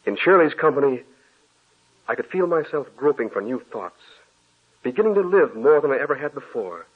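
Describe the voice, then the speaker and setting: A haunted, confessional author in an old radio drama, his husky voice breaking into anguish. The sound is thin and tinny, like a vintage broadcast heard over a narrow band. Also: medium pitch, big pitch swings, moderate pace. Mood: wistful. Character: guilt-ridden male author haunted by a dead love. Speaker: narrator of his own story. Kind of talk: 1940s radio acting.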